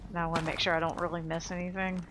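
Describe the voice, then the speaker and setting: A woman's voice: fairly low pitch, little pitch movement, rapid-fire, background noise. A woman giving casual, informal let's play commentary, thinking aloud as she plays. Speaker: let's player.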